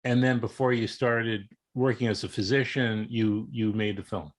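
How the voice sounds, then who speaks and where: A man's voice: on the low side, steady tone, moderate pace. A middle-aged man hosting an interview, asking rambling, meandering questions full of restarts. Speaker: interview host. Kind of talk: casual interview.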